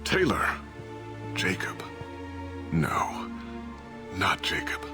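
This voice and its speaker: A man's voice: sits low, wildly swooping pitch, extremely slow, full character voice. A middle-aged man playing a disgraced, evasive ship captain and father in a video game, talking defensively and justifying himself. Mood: startled. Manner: defensive, rationalizing. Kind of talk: dramatic video game voice acting. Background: cinematic background music.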